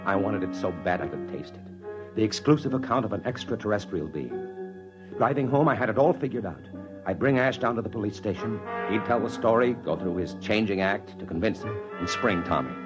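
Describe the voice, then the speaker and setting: A man's voice: fairly low pitch, brisk, lightly acted. A man acting a desperate journalist father in an old radio drama, both speaking and narrating in hard-boiled style. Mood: brooding. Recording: dull old radio recording, loud music behind.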